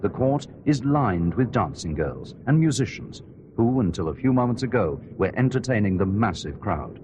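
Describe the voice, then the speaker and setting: A man plays a solemn court reporter in a radio drama, commentating live on a royal ceremony. His voice is low and his delivery measured and reverent. Clear ambient noise runs behind him. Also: very animated. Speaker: outside-broadcast commentator.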